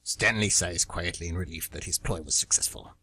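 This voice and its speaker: A man with a husky voice reading scripted, dramatic story narration for a radio theatre show. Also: low voice, fairly quick, sharp tone, dry recording. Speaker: narrator and co-host.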